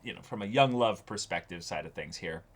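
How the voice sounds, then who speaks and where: A man's voice: low-pitched, animated, fairly quick. A man, around his thirties, chatting casually as a show co-host and repeating words emphatically. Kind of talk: casual discussion of an anime episode. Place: small indoor room with backdrop, seated.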